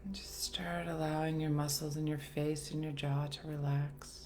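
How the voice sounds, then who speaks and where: A woman leading a guided meditation in a soothing, gentle, unhurried manner with long pauses. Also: low voice, little pitch movement. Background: soft background music.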